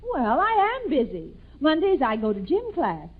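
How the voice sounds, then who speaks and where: An actress playing a coy, flirtatious American secretary in a 1940s radio comedy. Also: female voice, sits high, wildly swooping pitch, moderate pace. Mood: coy flirting. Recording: thin phone-like old radio recording.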